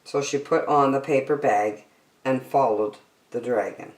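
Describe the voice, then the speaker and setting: A woman reading a picture book aloud to children as a storyteller, expressively, with deliberate dramatic emphasis on key words. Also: a bass voice. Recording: roomy indoor echo.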